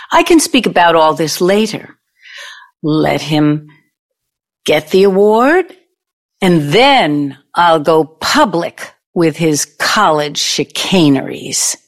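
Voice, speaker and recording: A woman's voice: lowish voice, very animated. A woman in an audio play acting a vengeful, older ex-girlfriend who needles and tells her story theatrically. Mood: dramatic storytelling. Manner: deliberate, taunting, storytelling delivery.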